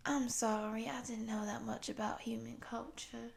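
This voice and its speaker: Woman playing a gentle, folksy cowgirl monster, gravelly edge. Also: fairly high pitch, level tone, moderate pace. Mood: tender. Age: 30s. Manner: soft, apologetic, affectionate, folksy. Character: tall, gentle, friendly cowgirl monster of thirty-six. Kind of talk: intimate roleplay addressed to the listener.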